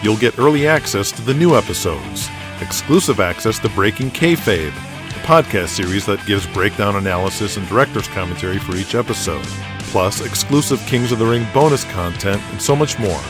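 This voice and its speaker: A low-voiced man delivers dramatic scripted narration, setting scenes like an audio drama storyteller. Music plays clearly behind his voice. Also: lively intonation, moderate pace.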